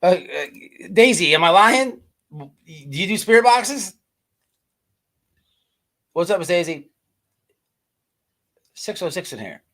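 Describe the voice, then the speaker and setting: A man's voice: high-pitched, lively intonation, slow pace, long pauses, sharp tone. A man hosting a casual livestream, ranting and chatting to viewers in profane slang, often stammering and repeating his words. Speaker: livestream host.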